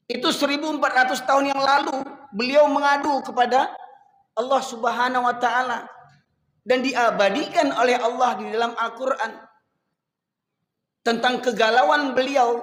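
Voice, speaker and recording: A man from Sumatra with a Medan accent, preaching a sermon to a congregation and slowing to chant verses. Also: very high-pitched, a bit bright. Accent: Medan Indonesian. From Sumatra, Indonesia. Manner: rises and falls, slows to chant Quran verses. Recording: echoey hall.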